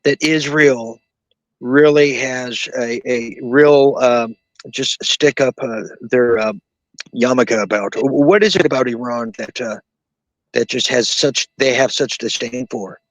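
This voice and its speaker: A man's voice: medium pitch, a little slow, dry recording, rough recording. A man hosting a late-night talk show, chatting casually with a guest, stumbling and restarting often as he reads viewer comments. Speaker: host.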